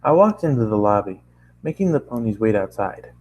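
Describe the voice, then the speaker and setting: A man narrating a first-person story as an amateur reader, halting now and then to correct his phrasing. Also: on the low side, sing-song, a little mellow, lo-fi sound.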